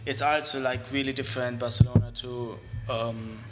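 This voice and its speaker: A young man from Germany and Spain whose first language is German, talking in a casual, halting way full of "like" as a guest in a studio interview. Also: medium pitch, steady tone, over a noisy crowd. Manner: loose, halting, heavy use of "like" and swearing. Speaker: guest, BMX rider.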